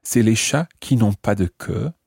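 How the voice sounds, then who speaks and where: Low, husky male language teacher. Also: moderate pace, a little mellow, dry recording, clean studio sound. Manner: methodical, spells out and repeats phrases. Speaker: language teacher and podcast host. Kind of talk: explanatory grammar lesson.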